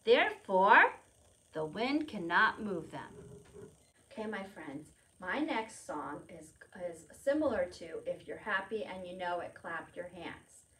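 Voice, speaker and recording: Animated woman presenting a preschool storytime. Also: on the low side, a little slow, low-quality recording. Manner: simple repetitive explanations with questions and prompts for small children; refers to self in third person. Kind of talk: children's lesson, demonstrating to young viewers at home.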